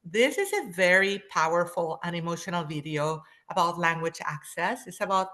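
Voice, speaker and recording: A woman's voice: on the low side, normal speed, sharp tone. A woman from Panama, presenting a webinar as a linguist in an enthusiastic, welcoming way over a video call.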